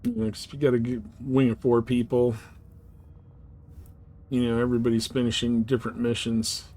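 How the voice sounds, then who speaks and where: A man's voice: mid-range voice, normal speed, dark tone. A man giving casual gameplay commentary as a streamer, explaining things in an informal, loose way. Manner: informal, explanatory, mildly profane. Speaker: game streamer.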